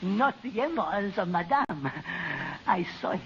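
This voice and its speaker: High-voiced sly little French thief. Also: male voice, animated, a little slow, a bit bright, highs cut off. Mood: gleeful boasting. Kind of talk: 1940s radio serial acting. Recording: noisy, band-limited old radio broadcast.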